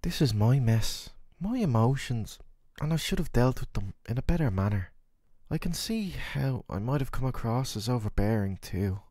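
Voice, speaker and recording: A man's voice: mid-range voice, expressive, moderate pace, mellow, no echo, clean studio sound, close to an everyday voice. A man playing a worried, tender boyfriend in an audio roleplay, speaking in a soothing, earnest, affectionate way. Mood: apologetic, loving. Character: worried, tender, doting boyfriend caring for his sick partner. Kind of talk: scripted boyfriend roleplay ASMR.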